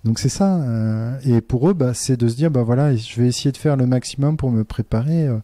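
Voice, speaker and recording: Hesitant French-speaking man, very dark voice. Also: mid-range voice, brisk, no room sound, studio quality. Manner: hesitant, many fillers and restarts; earnest and reflective. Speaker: teacher, interview guest. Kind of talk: casual interview conversation.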